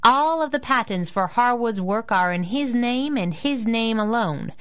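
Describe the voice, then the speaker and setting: An actress playing a plucky, wry young sidekick in a radio superhero drama, snappy and casual. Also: female voice, on the high side, lively intonation, a little slow, clear, resonant tone. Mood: wry, brisk. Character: plucky wisecracking young woman sidekick, hero's driver. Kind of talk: 1930s-set radio superhero drama acting.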